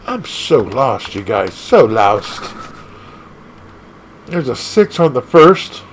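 Excitable man with a gravelly voice. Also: slow, a little hiss or hum, low-quality recording. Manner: excitable, laughs often, jumps between squeaky highs and low growls. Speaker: gaming channel host. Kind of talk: casual arcade gameplay commentary.

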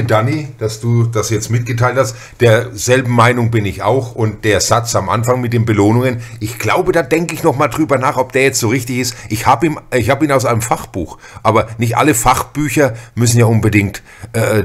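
A man's voice: medium pitch, normal speed, few pauses. A man hosting a casual discussion podcast, searching for his words now and then as he talks. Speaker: podcast host. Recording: music playing behind the voice. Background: background music.

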